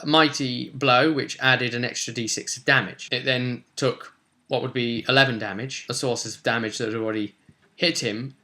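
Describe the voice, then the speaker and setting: A man's voice: medium pitch, pushed and bright. A man, casually recounting a tabletop roleplay session as game master in a rambling, colloquial way.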